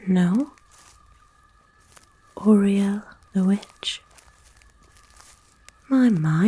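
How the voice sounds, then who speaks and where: Woman whispering as a kind, breathy forest witch. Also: fairly low pitch, warm tone. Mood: friendly, chatty. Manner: soothing, folksy, unhurried. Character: kind, nurturing forest witch, playful and gently old-fashioned. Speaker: ASMR voice actor. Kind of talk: whispered ASMR roleplay.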